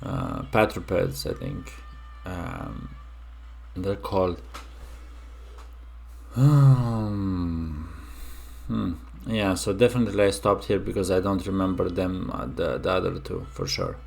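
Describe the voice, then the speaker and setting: A man's voice: deep voice, slow, creaky, long pauses, darkish tone, faint music behind. A young man from Romania hosting a casual reaction video, musing aloud with many fillers and restarts.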